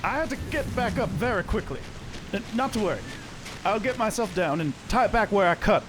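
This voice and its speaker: High, lively, hurried elf warrior. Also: male voice, background noise. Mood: urgent, reassuring. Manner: hurried, clipped, formal phrasing. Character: nimble male elf warrior, brisk, earnest, exasperated under pressure. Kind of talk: fantasy audio drama acting.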